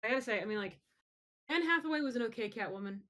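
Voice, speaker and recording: A woman's voice: a little high, lively intonation, rapid-fire, bright, forceful tone. A woman co-hosting a podcast in casual pop-culture chat, conversational and opinionated. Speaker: podcast co-host.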